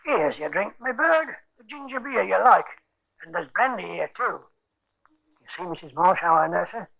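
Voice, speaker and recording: Sly, high-voiced husband. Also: male voice, animated, moderate pace, creaky, full character voice. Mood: oily, wheedling. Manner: wheedling, coarse-spoken, blustering. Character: sly, brash working-class husband, a murderous poisoner. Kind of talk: 1950s radio crime drama acting. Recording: dull, lo-fi 1950s radio recording.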